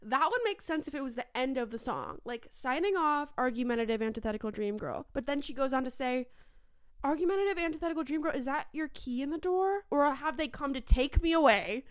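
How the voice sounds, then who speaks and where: A young woman co-hosting a casual podcast debate, soft-spoken with frequent laughter and animated bursts. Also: high-pitched, fairly quick. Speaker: podcast co-host.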